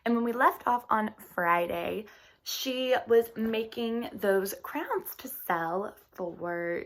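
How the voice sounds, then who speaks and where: A woman reading a children's book aloud in an expressive storytime style. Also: medium pitch. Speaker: storytime reader.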